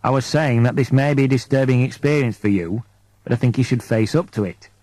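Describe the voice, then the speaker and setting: A man voicing a solemn, gently grave priest who speaks in a measured, formal, apologetic way in a science fiction audiobook. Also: mid-range voice, dry recording, natural voice, rough recording. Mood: grave, patient.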